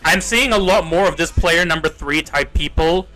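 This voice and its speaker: A young man with an Australian accent rants to his chat while he plays, circling back over the same complaint and swearing. His voice is sharp and fairly high, picked up on a desk mic with quiet game music underneath. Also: moderate pace, rough recording. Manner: rambling, exasperated, swears, repeats himself. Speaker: gaming streamer. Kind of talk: casual livestream chat while playing.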